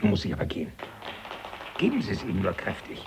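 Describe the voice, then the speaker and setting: Low-voiced, wry old professor. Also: male voice, huge pitch swings, fast, vocal fry, background noise, exaggerated voice. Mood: wry, confiding. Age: older. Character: genial old professor, the hero's former teacher, dryly amused. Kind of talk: 1980s German radio play acting.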